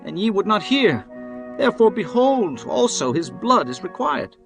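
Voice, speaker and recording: A man's voice: sits high, big pitch swings, moderate pace, gravelly, fairly bright tone, full character voice. A man voicing Reuben, an urgent, pleading eldest brother, in a dramatized scripture reading. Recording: music bed behind voice.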